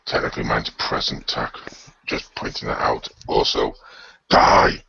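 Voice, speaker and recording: A very deep, badly husky villain emperor for a radio play. He is pompous and petulant, barking imperious orders and fussing indignantly. The recording is close, dry and rather dull. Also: completely flat delivery, a little slow, sharp tone, no room sound, heavily acted. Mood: peeved. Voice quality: gravelly, husky. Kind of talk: improvised hobby radio play.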